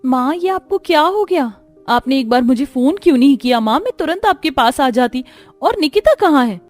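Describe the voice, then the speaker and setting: A woman voicing a gentle, emotional young daughter-in-law in a cartoon story, sweet and earnest. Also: high voice, animated, moderate pace, soft background music, voice pushed up. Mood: worried.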